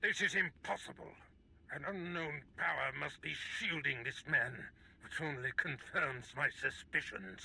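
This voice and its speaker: An actor playing a menacing, imperious Sith master in a video game, with a gravelly, grandiose delivery. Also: male voice, fairly high pitch, unhurried, sharp tone, full character voice, rough recording. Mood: frustrated rage. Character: menacing theatrical Sith lord master, raging and scornful.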